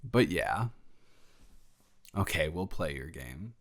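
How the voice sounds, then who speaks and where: Casting: male actor for protective young male best friend and roommate, low register, halting casual delivery, sheepish with a jealous streak, intimate audio drama. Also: big pitch swings, creaky, heavily acted. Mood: defensive. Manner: hesitant, casual, halting with pauses. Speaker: audio roleplay performer. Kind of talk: improvised intimate roleplay monologue.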